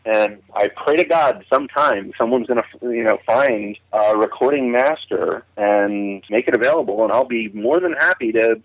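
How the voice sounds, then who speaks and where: A man's voice: medium pitch, moderate pace, hardly any pauses, dry recording. A man in a casual, enthusiastic discussion, emphatic and opinionated, as a guest expert. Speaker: guest audio drama expert. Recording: muffled telephone-like call.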